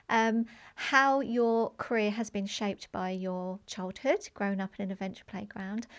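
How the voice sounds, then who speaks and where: A woman hosting an education podcast, introducing her guest and asking questions in a measured way. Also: mid-range voice. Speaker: podcast host, education lead.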